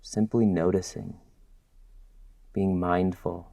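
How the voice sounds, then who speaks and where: A man guiding a body-scan meditation in gentle, instructional phrases with long pauses between them. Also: sits low, level tone, no echo. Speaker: meditation guide.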